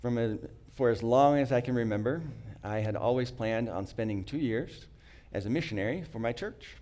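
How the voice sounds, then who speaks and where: A middle-aged man, not a native English speaker, telling a prepared personal story in an earnest, reflective way, with small restarts and frequent throat-clearing. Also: mid-range voice, normal speed, mellow.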